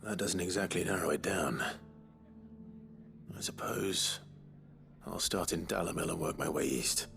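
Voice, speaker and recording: An actor playing a stoic, low-voiced lord and sword fighter in a fantasy video game, gravelly and measured in his delivery. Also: fairly bright tone, soft background music, exaggerated voice. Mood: brooding, resolved. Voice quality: deep, gravelly.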